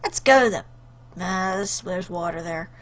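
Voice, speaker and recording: A woman's voice: lowish voice, measured pace. A woman hosting a let's play, chatting casually and jokingly as she comments on the game. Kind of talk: casual playful game commentary with read-aloud choices.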